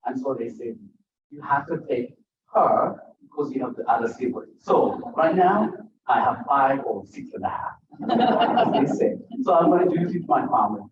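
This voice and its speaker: A man's voice: mid-range voice, lively intonation, moderate pace, background noise. A man from Uganda speaking at an author event, chatting with the audience and joking between stories. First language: a language other than English. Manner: conversational, jokes with the crowd. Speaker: author at a book talk. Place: bookstore event room. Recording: roomy, distant, dull bookstore recording.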